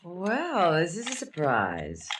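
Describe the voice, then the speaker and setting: Teasing, tipsy noir widow. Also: female voice, on the low side, huge pitch swings, takes it slowly, distant mic, noisy background, heavily acted. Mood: flirtatious, tipsy. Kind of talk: 1950s radio noir acting. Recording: off-mic vintage radio recording.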